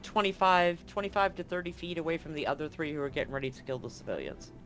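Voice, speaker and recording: A man's voice: a little high, lively intonation, fast talker, a bit bright, soft background music. A man talking casually as the game master of a tabletop roleplaying game, describing scenes and rules. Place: live online game stream.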